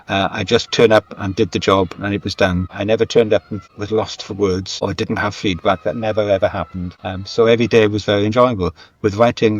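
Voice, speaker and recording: A man with a low voice answers interview questions conversationally. He circles back and repeats words as he works out his thoughts. The recording is dry and a little dull. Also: no room sound. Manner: hesitant, repeats words as he thinks aloud. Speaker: guest, screenwriter and novelist.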